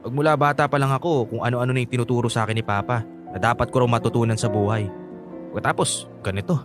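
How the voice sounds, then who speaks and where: A man's voice: mid-range voice, fairly even intonation, quick pace, music in the background, natural voice. A man playing a hurt, angry young son and boyfriend in a Filipino radio drama, stammering when upset. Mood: low, hurt.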